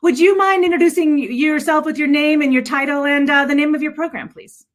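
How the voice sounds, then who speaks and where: A woman hosting a panel over a video call with semi-scripted remarks, often pausing and repeating words as she explains. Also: high voice, fairly even intonation. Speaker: panel host and adult education director.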